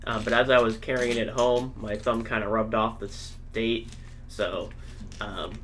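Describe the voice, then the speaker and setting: A man's voice: fairly low pitch, level tone, a little slow, fairly bright tone, a little hiss or hum. A young man co-hosting a casual podcast chat, dry and easygoing, telling stories. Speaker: podcast co-host.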